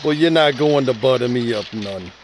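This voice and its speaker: A man's voice: mid-range voice, moderate pace, slight background noise, lightly acted, poor audio. A man playing a big, unbudging bouncer in a noir audio drama, firm and officious. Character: large, unbudging male bouncer at gangsters' ball. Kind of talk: noir radio drama acting.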